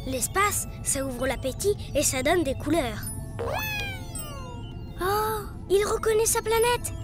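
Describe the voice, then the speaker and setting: Cartoon: small proud cosmic boy hero, very high voice, woman actor, loud music bed. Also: expressive, heavily acted. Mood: chatty. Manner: boastful then sheepish, quick exclamations. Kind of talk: cartoon dubbing.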